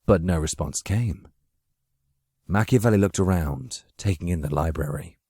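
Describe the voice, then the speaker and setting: A man narrating a dramatic audiobook from a script, with measured, literary storytelling. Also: deep voice, dark tone, breathy edge, dry recording, studio quality.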